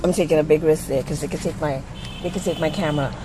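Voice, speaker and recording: A woman's voice: sits low, steady tone, fast talker. A middle-aged woman, ranting casually to a handheld camera in a blunt, exasperated, salty-tongued way from inside a car. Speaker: vlogger. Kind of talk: casual first-person rant to camera. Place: inside a parked car by a busy street. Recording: noisy car interior with street traffic.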